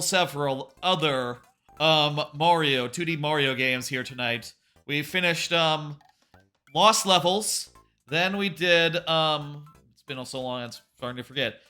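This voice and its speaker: A man livestreaming a game, talking casually in a loud voice that rambles and corrects itself, sitting about an arm's length from his microphone. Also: on the high side, clean tone. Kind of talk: casual live gameplay chat.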